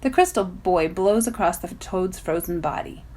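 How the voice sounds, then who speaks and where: A woman reading a children's novel aloud as a volunteer, in expressive narration. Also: on the low side, normal speed. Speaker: volunteer story reader.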